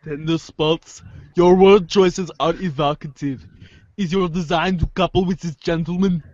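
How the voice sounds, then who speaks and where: Man playing a high-pitched, pompous teen girl intellectual. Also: fairly even intonation, unhurried, darkish tone, no room sound, dull audio, close to an everyday voice. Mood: wry. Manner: pompous, florid, wordy. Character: haughty, verbose teenage girl, snarky intellectual. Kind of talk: amateur comic script reading.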